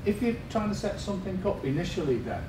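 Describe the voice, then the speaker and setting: A man's voice: high voice, very animated, fast. A man in the audience tentatively asking an informal question in a meeting room. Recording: distant, echoey, noisy room pickup.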